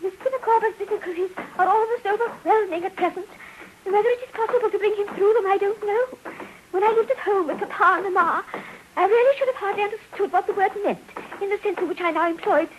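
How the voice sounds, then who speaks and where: A woman's voice: very high-pitched, fast. An actress playing a long-suffering, talkative English wife and mother in a radio drama, fussily genteel and rambling. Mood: genteel, plaintive. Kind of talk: 1950s radio drama acting. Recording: faintly noisy old radio recording.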